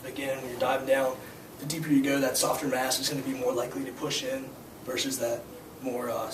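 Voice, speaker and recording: A hoarse young man teaching a scuba class, explaining gear and skills in a casual, filler-heavy way. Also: medium pitch. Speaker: scuba instructor. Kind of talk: hands-on demonstration to a class. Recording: echoey room, distant mic, noisy.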